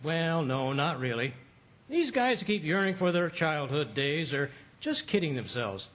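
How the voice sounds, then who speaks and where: A man's voice: fairly high pitch, sing-song, measured pace, fairly bright tone. A man playing a blustering, folksy, fibbing middle-aged husband in an old-time radio comedy. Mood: boastful, grumbling. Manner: folksy, stammering when cornered.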